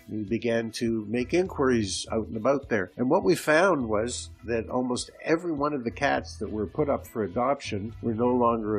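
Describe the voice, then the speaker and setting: Congested, slightly hoarse male podcast host, lowish voice, chatty storyteller, faint music underneath. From Canada. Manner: conversational storytelling, with restarts. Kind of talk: casual solo podcast monologue. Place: at home. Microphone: old home microphone with hiss.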